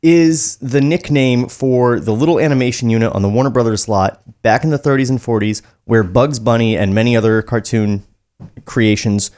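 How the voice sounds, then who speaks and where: A man's voice: mid-range voice, moderate pace, a little mellow. An American man co-hosting a podcast, chatting casually with some swearing and reading a script aloud.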